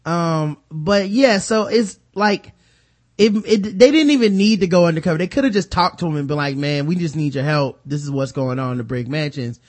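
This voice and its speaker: A man's voice: a little high, normal speed. An American man hosting a casual, profane film discussion, animatedly retelling scenes and acting out mock dialogue. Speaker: movie review podcast host.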